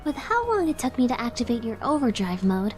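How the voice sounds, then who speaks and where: High, animated young female engineer. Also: fairly quick, soft background music. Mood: earnest. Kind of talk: video game voice acting.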